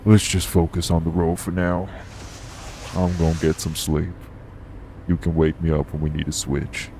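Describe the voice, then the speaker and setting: Guy doing a super deep gruff old supernatural dude, guarded n world weary, audio rp, bit of road noise behind him. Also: level tone, normal speed, dark tone. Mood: guarded, grim. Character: gruff, shady long-lived supernatural man with a past. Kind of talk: audio roleplay acting, urban fantasy drama. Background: vehicle on the road.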